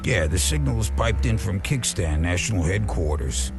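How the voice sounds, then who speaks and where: An actor playing a shifty, cowardly but surly bartender in a video game, grudging and easily rattled. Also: male voice, sits low, lively intonation, normal speed, music in the background.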